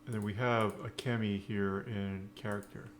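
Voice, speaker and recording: A man giving a casual coding screencast, thinking aloud in halting, unscripted phrases. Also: on the low side, fairly even intonation, noisy background. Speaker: programming tutorial presenter.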